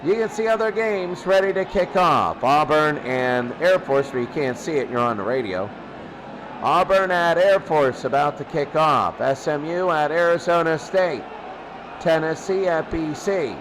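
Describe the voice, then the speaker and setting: A male play-by-play announcer with a high-pitched voice gives radio football commentary. His delivery is slow and spaced out, over clearly audible background noise. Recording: clearly noisy background throughout.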